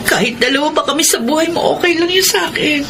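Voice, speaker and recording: An actress playing a desperate, clingy ex-girlfriend, pleading excitably in radio melodrama style. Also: high voice, fast, background noise. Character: clingy, desperate ex-girlfriend begging to be taken back. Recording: loud music under the voice.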